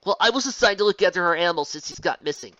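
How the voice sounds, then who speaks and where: A woman voicing an eager, excited small young male dragon. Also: lowish voice, very fast, no room sound, lo-fi sound, voice pushed up.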